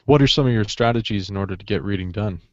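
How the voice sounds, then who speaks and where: A man's voice: on the low side, fast talker, dry recording. A man hosting a podcast in casual, informal chat, recorded on slightly dull audio. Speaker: podcast host.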